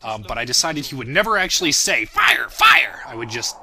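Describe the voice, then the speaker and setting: Bright-voiced young man doing casual commentary. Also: medium pitch, expressive, quick pace. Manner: self-deprecating, rambling, reflective. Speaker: creator of a fan parody series, commentator. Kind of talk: casual audio commentary on own work.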